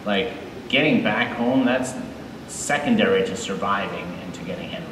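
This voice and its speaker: A man speaking as a show creator on a live panel, measured and careful in a conversational way. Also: fairly low pitch. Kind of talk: live panel interview, explanatory. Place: large indoor convention hall stage. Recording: echoey hall, distant, crowd noise.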